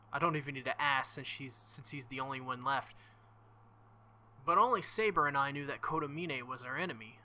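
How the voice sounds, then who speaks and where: Bright excitable young man, game commentator. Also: mid-range voice, a little hiss or hum. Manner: excitable, swings to loud outbursts; profane, joking. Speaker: let's-play host. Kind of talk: visual novel playthrough with commentary.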